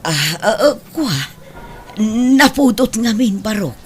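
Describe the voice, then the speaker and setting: A woman's voice: a little high, very animated, slow. A woman playing a concerned, plain-spoken older village mother in an audio drama, with an Ilocano Filipino accent. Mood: hesitant, apologetic. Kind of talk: melodramatic radio-style drama acting.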